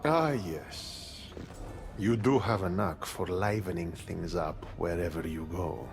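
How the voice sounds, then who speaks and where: An actor playing a smug, suavely mocking god of the underworld in a video game, sardonic and theatrical. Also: male voice, deep voice, takes it slowly, vocal fry, full character voice. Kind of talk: dramatic video game acting.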